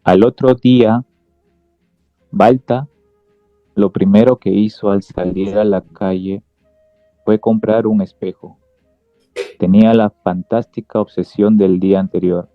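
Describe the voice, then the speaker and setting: A man's voice: on the low side, steady tone, unhurried, pauses often, no room sound. A man reading scripted literary narration as the narrator of a radio drama. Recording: dull lo-fi sound, music behind.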